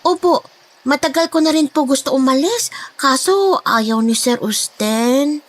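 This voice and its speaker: High-voiced, frightened gossipy nanny. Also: female voice, a little slow. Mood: polite. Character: talkative, frightened Filipina nanny sharing a scary secret. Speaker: nanny character in a horror drama. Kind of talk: dramatized horror story dialogue.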